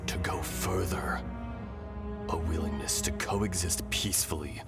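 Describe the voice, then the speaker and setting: A solemn remnant of an ancient dragon in a human body speaks in a deep voice, very deliberately. The delivery is grave, benevolent storytelling from a video game, with loud music underneath. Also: male voice, fairly even intonation. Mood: solemn, resolute. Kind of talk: dramatic video game acting.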